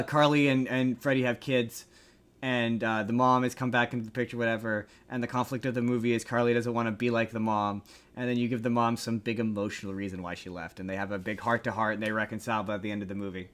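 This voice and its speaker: A man's voice: medium pitch, fairly even intonation, brisk, fairly bright tone. A male podcast host with a mid-range voice talks through entertainment news in a casual, opinionated way. He rambles, restarting and repeating phrases as he works toward his point. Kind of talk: casual pop-culture news chat.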